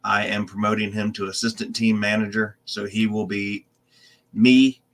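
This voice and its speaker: A man's voice: lowish voice, little pitch movement, moderate pace. A man hosting a casual paranormal podcast, talking loosely with many ums and restarts. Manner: rambling, loose, filler-heavy. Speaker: team manager and podcast host.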